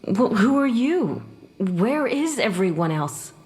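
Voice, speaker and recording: A woman's voice: fairly high pitch, sing-song, takes it slowly, a little mellow. An actress playing the sardonic, indignant ghost of a murdered mother in an audio drama, with an impatient manner. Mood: stunned. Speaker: actor in fiction podcast.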